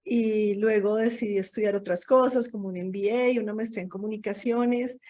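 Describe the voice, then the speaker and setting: A woman's voice: mid-range voice, steady tone, a little fast, dark tone. A woman in her forties with a Colombian accent, talking casually about herself as an interview guest, often rambling and correcting herself. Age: 40s. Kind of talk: casual autobiographical talk. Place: online video call.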